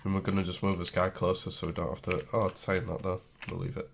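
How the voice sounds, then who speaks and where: Deep gravelly man with a cold. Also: little pitch movement, fast, a little hiss or hum. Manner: thinks aloud while clicking and typing, loose and chatty. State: has a cold, sniffly. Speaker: game tutorial host. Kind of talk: casual screen-recorded tutorial.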